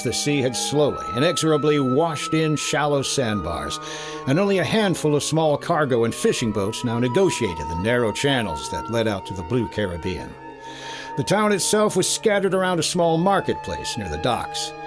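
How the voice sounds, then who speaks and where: An American man playing a brisk, wry insurance investigator in a 1950s-style detective radio drama, with a clipped, dry delivery. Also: mid-range voice, close to an everyday voice. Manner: clipped, dry, hard-boiled. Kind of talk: 1950s detective radio drama acting, first-person case narration.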